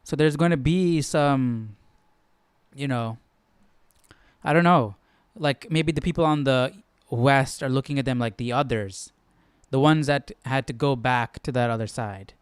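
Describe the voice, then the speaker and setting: Smooth-voiced man musing over scripture. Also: medium pitch, measured pace, no echo. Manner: thinks aloud, musing, hesitant asides. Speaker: host of a Bible study. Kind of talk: casual reading and commentary.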